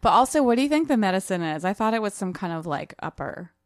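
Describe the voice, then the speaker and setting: A woman's voice: medium pitch, big pitch swings, quick pace, no echo, clean studio sound. A woman co-hosting a podcast, chatting casually and chiming in with playful commentary. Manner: playful, interjecting. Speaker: podcast co-host. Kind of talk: casual chat, reactive commentary.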